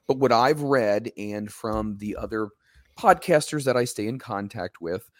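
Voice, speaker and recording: A man co-hosting a casual wrestling podcast, a little hoarse, talking emphatically and ranting at times. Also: lowish voice, measured pace, no echo, clean studio sound. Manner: emphatic, ranting, animated when quoting others. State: slightly hoarse.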